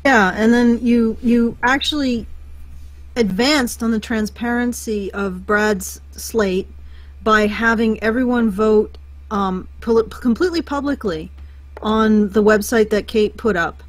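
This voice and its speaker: A woman's voice: fairly high pitch, takes it slowly, no room sound, low-quality recording. A woman hosting a podcast who talks in a hesitant, filler-heavy way, interviewing a guest casually. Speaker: podcast host.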